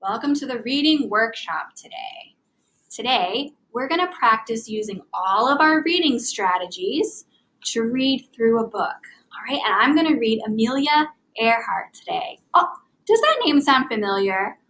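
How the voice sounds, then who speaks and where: A woman teaching young children in an expressive, carefully enunciated read-aloud style, speaking as an elementary reading teacher. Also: on the high side, measured pace, poor audio.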